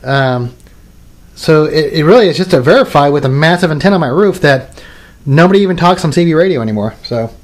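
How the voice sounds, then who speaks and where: A man's voice: a little high, lively intonation, fairly quick. A man in his thirties casually showing off radio gear at his home workbench, rambling with many restarts and fillers. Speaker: radio hobbyist video maker. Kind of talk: casual show-and-tell demo.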